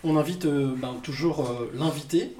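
A man hosts a relaxed interview from his own apartment, his voice sitting a little higher than most men's. He hesitates often, stacking fillers and starting sentences over. A faint hiss sits under the recording. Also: moderate pace, roomy sound. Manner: hesitant, many fillers and restarts. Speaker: host. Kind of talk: casual interview chat.

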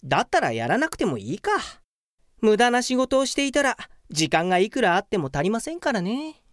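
A woman's voice: mid-range voice, very animated, brisk, dry recording, studio quality, close to an everyday voice. A woman playing a lazy, smug young male office worker in a comedic radio drama, with an earnest, matter-of-fact manner. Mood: nonchalant.

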